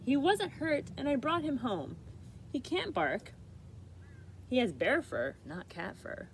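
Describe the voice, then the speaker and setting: A woman's voice: high-pitched, wildly swooping pitch, slow, a little hiss or hum, pitched above the performer's own voice, full character voice. A woman reading a picture book as a young boy, earnest and pleading in a sing-song way. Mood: hopeful, pleading. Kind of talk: picture book read-aloud.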